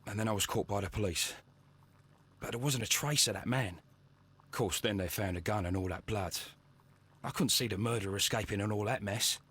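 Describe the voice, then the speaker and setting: Husky, nervous young London working man. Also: on the low side, a little fast, fairly bright tone. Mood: shaken, earnest. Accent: English, London. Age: young adult. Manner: nervous, stammering, earnest. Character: anxious young working-class Victorian man, wrongly accused. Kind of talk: video game voice acting.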